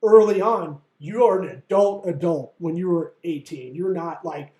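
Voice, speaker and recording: A male podcast host with a fairly high voice jokes crudely and laughs often during banter. The recording has a noticeable roomy echo around him. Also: normal speed, dark tone.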